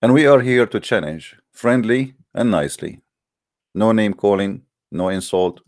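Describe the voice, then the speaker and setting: A man's voice: fairly low pitch, unhurried, no room sound, studio quality. A man, an English speaker, hosting a live call-in debate show in a hesitant but challenging way, with many fillers. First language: Arabic.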